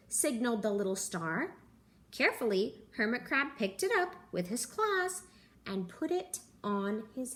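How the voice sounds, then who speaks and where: A young woman, a teacher, reading a picture book aloud to children at home in a gentle, animated storytelling manner. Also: a little high. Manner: gentle, animated storytelling with gasps and character voices. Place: living room at home.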